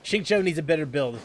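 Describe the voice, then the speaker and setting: A man's voice: on the high side, slight background noise. A man streaming a game live, thinking aloud as he plays and answering viewers' questions in a loose, chatty way. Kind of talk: live gameplay commentary.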